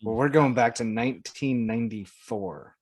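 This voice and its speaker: Male podcast co-host, mid-pitched voice, informal and teasing conversational delivery. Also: normal speed, a little mellow. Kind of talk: casual pop culture chat.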